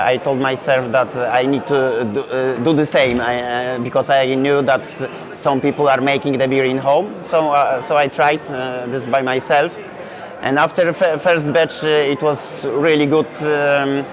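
A young man with a Polish accent, a native Polish speaker, answering interview questions in English with many fillers and restarts. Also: medium pitch. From Poland. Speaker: brewery founder. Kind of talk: festival interview. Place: indoor beer festival hall. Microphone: lapel mic. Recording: dull lo-fi sound with festival crowd chatter.

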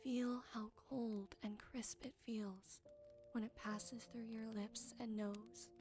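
Wise forest witch played by a woman for ASMR roleplay: fairly high voice, tender, very unhurried, caring with a soft tease. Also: fairly even intonation, faint music behind. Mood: calming.

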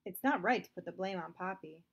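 A woman reading aloud, voicing a principled young brother who questions and insists on the truth, higher than her narration. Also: lively intonation, brisk. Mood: firm. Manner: firm, questioning.

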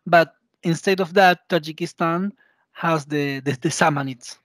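A man with an Argentinian accent and Spanish as his first language, speaking halting English as he searches for words in an interview. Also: a little high, fairly bright tone, no echo, studio quality. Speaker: podcast guest, professor of Persian. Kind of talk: interview conversation. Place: indoor room, video call.

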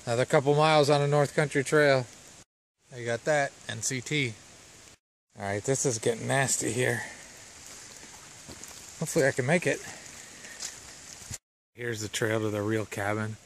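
A man's voice: mid-range voice, normal speed, gravelly, long pauses. Wry man vlogging outdoors, noisy audio. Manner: offhand, wry, chatty asides. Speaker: travel vlogger. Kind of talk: casual narration while hiking and driving. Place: outdoors on forest trails and a campground in the rain.

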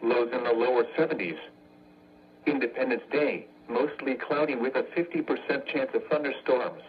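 A man's voice: medium pitch, moderate pace. Male synthetic announcer for automated weather radio: stilted, impersonal, clipped phrasing, muffled lo-fi broadcast with slight background noise. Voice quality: synthetic, stilted text-to-speech. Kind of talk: synthesized weather forecast reading.

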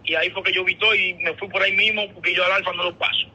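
A man's voice: sits high, steady tone, racing, pushed and bright, breathy edge. A Dominican man in a casual phone conversation, explaining himself hesitantly and repeating words, heard tinnily through a phone speaker. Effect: heard over a phone speaker. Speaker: caller. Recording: tinny, muffled phone call on speakerphone.

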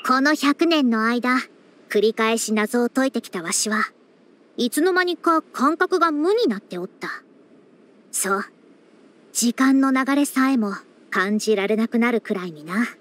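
A high, very lively girlish scholar who is a hundred years old for a game. She speaks in archaic elder's phrasing, proud and schoolmarmish, and likes to boss her juniors. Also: measured pace. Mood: soft, reflective. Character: youthful-sounding centenarian female scholar, proud and bossy. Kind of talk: scripted game character voice lines.

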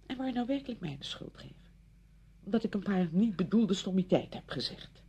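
A pushy, well-meaning woman friend in a radio play. Her voice is creaky and husky, with very lively pitch. She presses practical advice and turns defensive easily. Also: a little fast, dull audio, full character voice. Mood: hurt, defensive. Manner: insistent, defensive. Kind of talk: Dutch radio play acting.

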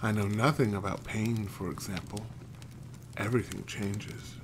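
Guy streaming a noir game, lowish voice, noisy mic, reads stuff out loud. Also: steady tone, measured pace. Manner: stumbles over names while reading. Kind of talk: let's play commentary and reading notes aloud.

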